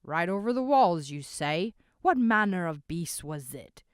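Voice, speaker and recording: A woman voicing a rough-voiced man in an inn who hollers his questions. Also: on the low side, moderate pace, a bit bright, no room sound, studio quality, close to an everyday voice. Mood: gruff. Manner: gruff, hollering. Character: rough loud man, blunt tavern patron.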